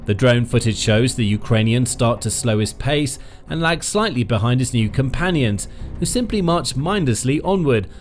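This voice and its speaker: Dramatic male documentary narrator, lively pitch. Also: moderate pace. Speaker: narrator of a military news channel. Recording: faint music bed behind the voice.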